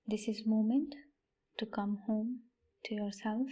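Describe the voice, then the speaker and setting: Slow, hushed woman guiding meditation. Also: mid-range voice, fairly even intonation. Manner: hushed, breathy, near-whispered, gentle delivery with long pauses. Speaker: meditation guide, channel host. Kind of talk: guided meditation, soft-spoken.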